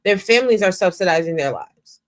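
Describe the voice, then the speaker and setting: A woman's voice: lowish voice, animated, brisk. A woman making her points with emphasis, drawing out her phrases and lecturing in a casual discussion.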